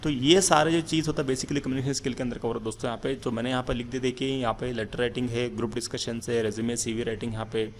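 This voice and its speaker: A man's voice: medium pitch, little pitch movement, fast talker, few pauses, slight background noise. A man teaching English in a rambling, filler-heavy way, walking through slides. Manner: rambling with many fillers and restarts. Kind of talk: slide-based lesson.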